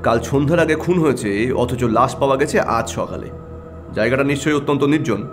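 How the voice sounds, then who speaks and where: An actor playing a keen, brooding and excitable private detective in an audio drama, with a probing, emphatic delivery. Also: male voice, medium pitch, a little fast, over music, natural voice.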